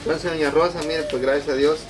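A man's voice: on the high side, fairly even intonation, fast talker, a crowd in the background. A man in his thirties with a Guatemalan Spanish accent, hosting a casual interview on the patio of a home, friendly and full of fillers. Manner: friendly, talks with many fillers like "va" and "pues". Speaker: host of a charity video channel. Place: outdoor concrete-block patio of a home. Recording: distant camera sound, birds and ambience behind.

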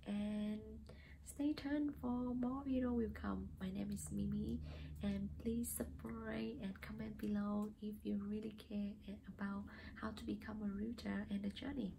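Young woman, Vietnamese accent, fry in the voice, casual vlog, faint room hiss. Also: mid-range voice, fairly even intonation, a little slow. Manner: hesitant, many fillers, gesturing with hands. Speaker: real estate agent vlogger. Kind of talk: casual talk to camera. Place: small plain indoor room.

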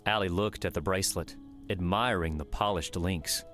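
A man's voice: deep voice, moderate pace, fairly bright tone, faint music behind. A man reading scripted fiction as the narrator of an audiobook.

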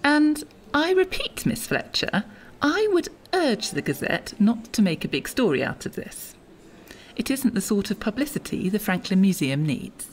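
A woman's voice: mid-range voice, big pitch swings, moderate pace, natural voice. A woman acts a stern museum official in a radio play. She speaks formally and curtly, with very varied intonation and a little background noise. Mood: curt, precise. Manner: formal, curt, correcting. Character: brisk, stern, precise female museum official. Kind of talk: audio drama acting.

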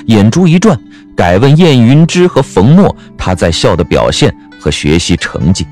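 A man narrating a scripted story in a wry, expressive manner. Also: fairly low pitch, normal speed, soft background music.

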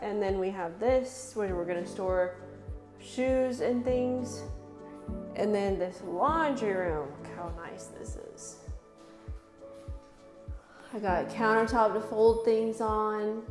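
A woman's voice: mid-range voice, slow, long pauses. A young woman chatting casually and upbeat as she tours a new house, laughing often. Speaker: vlogger giving a house tour. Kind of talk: casual house tour narration. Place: large empty house, indoors. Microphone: camera mic from a few steps away. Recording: roomy reverb in empty rooms, music underneath.

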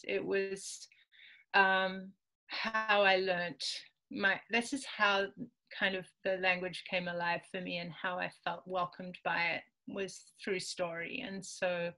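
A woman's voice: medium pitch, sharp tone, poor audio. A woman in her forties, a Spanish native speaker from Peru, talking casually as an author guest over a video call from her home, with many ums and easy laughs. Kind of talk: casual conversational interview. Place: home room with bookshelves. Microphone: video call webcam.

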